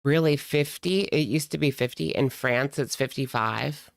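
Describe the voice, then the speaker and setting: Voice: medium pitch, normal speed, creaky, no echo, studio quality. A reaction channel host talking casually in a blunt, mocking and sardonic way while commenting on a video.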